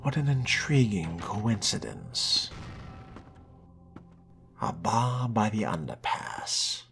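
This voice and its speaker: Very deep, slow-spoken wry man. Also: big pitch swings, vocal fry, a bit bright. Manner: wry, measured, formal phrasing. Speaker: roleplaying gamer narrating a playthrough. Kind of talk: in-character game roleplay, musing and reading aloud.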